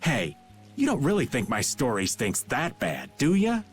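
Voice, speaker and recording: Shady old joker, wildly swooping voice. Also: male voice, mid-range voice, huge pitch swings, normal speed. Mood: feigned innocence. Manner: theatrical, teasing, feigned innocence. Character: shady, jovial older man, playful slippery drifter. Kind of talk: video game voice acting. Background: game music.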